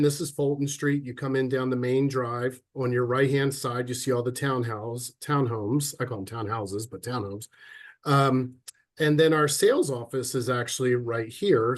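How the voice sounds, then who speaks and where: A man with a head cold and a slightly stuffy voice, giving a relaxed, chatty online presentation with frequent ums and restarts over a computer mic. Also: medium pitch. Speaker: sales and marketing officer presenting a webinar. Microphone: computer mic on a video call.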